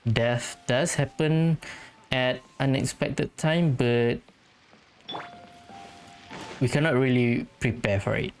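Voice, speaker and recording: A man giving casual let's-play commentary, musing aloud in a halting way. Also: mid-range voice, soft background music. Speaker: gaming streamer.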